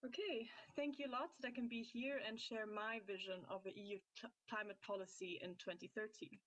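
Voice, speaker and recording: A woman in her twenties from Austria, giving an earnest webinar presentation over a video call and pausing now and then to find her words. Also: medium pitch, steady tone, a bit bright, rough recording. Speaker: youth climate activist presenting. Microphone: computer mic on a video call.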